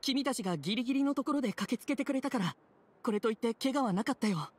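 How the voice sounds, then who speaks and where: A woman's voice: a little high, animated, quick pace, husky. A woman voicing an earnest, devoted young male prisoner in a game, with a polite way of talking. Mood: polite, hesitant. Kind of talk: game dialogue.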